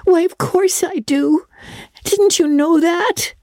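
A woman's voice: very high voice, slow pace, a little mellow, dry recording, studio quality, pitched above the performer's own voice, full character voice. A woman voicing a devoted, plainspoken little girl in a dramatic audiobook reading, childlike and earnest. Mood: staunch, affectionate. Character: Diana, devoted, plainspoken little girl, Anne's bosom friend.

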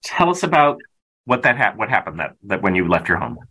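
A man hosting a podcast, reading an intro and then asking interview questions in a measured way. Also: fairly low pitch, sing-song, bright, forceful tone, slightly rough, rough recording. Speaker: podcast host.

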